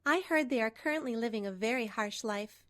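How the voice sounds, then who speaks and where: Woman acting as betrayed wife, high voice, composed, pointed, scripted manga narration, dry close sound. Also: brisk, dry recording, lightly acted. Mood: reflective. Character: betrayed wife, composed, coolly resolute, narrating her story.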